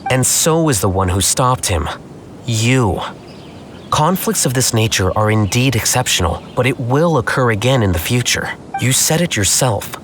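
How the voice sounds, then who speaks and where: An actor playing a calm, stoic scholar in a video game, with a measured, formal, deadpan manner. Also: male voice, medium pitch, slight background noise, close to an everyday voice. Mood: calm, matter-of-fact. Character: calm, stoic, dryly teasing male scholar. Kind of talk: scripted video game dialogue.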